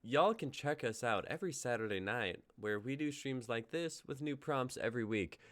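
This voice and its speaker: A man's voice: mid-range voice, expressive, normal speed, heavy background noise. A young man hosting a live stream, chatty and casual as he plugs links and upcoming plans. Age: young adult. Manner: chatty, plugging links and schedule. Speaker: stream host.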